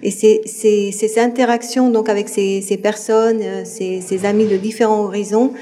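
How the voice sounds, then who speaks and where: A woman's voice: medium pitch, flat delivery, normal speed, dark tone, faint music behind. A woman in her fifties from France, speaking as a guest on a public panel, hesitant with many fillers and restarts. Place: public hall with audience. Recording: reverberant hall.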